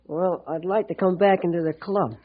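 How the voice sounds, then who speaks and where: A man's voice: high voice, steady tone, normal speed, crowd noise, close to an everyday voice. An actor playing a contrite, earnest boy who wants friends, talking plainly in an old-time radio drama. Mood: contrite, determined. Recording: dull vintage radio recording.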